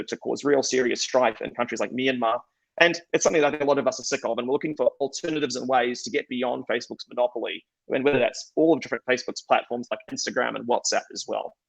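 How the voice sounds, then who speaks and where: Male speaker with a New Zealand accent, quick delivery, gravelly edge, dry close recording. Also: medium pitch, no echo, rough recording. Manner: conversational, self-deprecating, frequent restarts. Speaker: conference speaker. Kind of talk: conference presentation.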